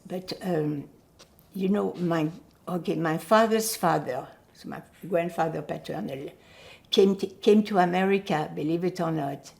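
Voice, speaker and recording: An older woman whose first language is Yiddish, recalling her family in an oral history interview, pausing often to search for words. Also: lowish voice, gravelly, a little mellow. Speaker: Holocaust survivor giving testimony.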